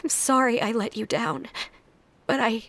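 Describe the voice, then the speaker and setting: A woman's voice: a little high, animated, a little slow, fairly bright tone. A woman voicing a timid, apologetic, earnest young orphan in a video game, hesitant and pleading. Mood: tearful, apologetic. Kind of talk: scripted video game voice acting.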